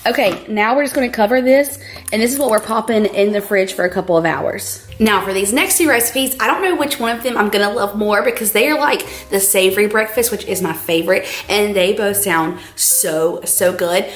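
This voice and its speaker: A young woman with a cold-roughened, scratchy voice, chatting brightly through a home cooking tutorial. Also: medium pitch, brisk. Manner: chatty, bubbly, peppered with "like". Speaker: cooking vlogger. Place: home kitchen.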